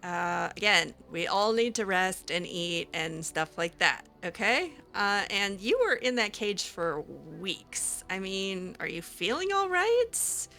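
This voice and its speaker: A woman's voice: mid-range voice, big pitch swings, slow pace, hardly any pauses, bright, forceful tone. A young woman roleplaying her game character aloud, talking hesitantly with many fillers and restarts. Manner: hesitant, filler-heavy, giggly.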